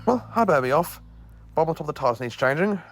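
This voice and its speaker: Man playing an animated, eccentric time-travelling professor. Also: on the high side, quick pace. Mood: reassuring. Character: eccentric kindly male alien time-travelling professor, quietly confident. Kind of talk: audio drama acting.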